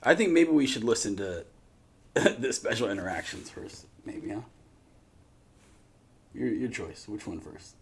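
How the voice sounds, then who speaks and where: A man's voice: fairly low pitch, big pitch swings, unhurried, long pauses. A man hosting a reaction video, chatting in a slangy, rambling, joking way. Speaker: reaction show host. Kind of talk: casual reaction chat. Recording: clear background noise.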